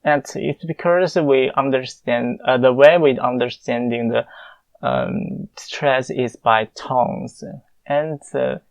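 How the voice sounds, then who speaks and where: Young guy w mandarin chinese accent, native mandarin, fills every pause w uh, gravelly voice, dry close sound. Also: medium pitch, steady tone, dry recording, highs cut off. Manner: halting, many filler pauses. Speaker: conlang creator answering listener questions. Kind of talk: casual Q&A monologue.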